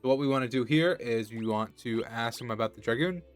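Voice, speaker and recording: A man's voice: mid-range voice, brisk, soft background music. A man with a cold, sounding nasal, casually commenting as he plays a video game. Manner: relaxed, chatty, thinking aloud. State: has a cold. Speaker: gaming channel host.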